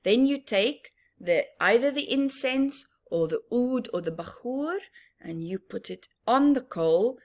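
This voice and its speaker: Slow-paced woman reading to children. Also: fairly high pitch. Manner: expressive, teacherly, emphatic repetition, questions to the listener. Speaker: children's story time reader. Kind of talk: picture book read-aloud with explanatory asides to children.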